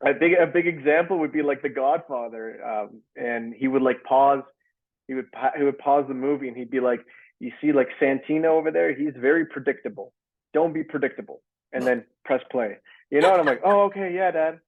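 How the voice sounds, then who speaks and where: A man's voice: mid-range voice, fairly quick, phone-quality sound. A young man from Canada answering interview questions over a video call from home, loose and easygoing, with lots of fillers. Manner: loose, many fillers and restarts. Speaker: actor guest. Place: home in Toronto. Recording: thin video-call audio.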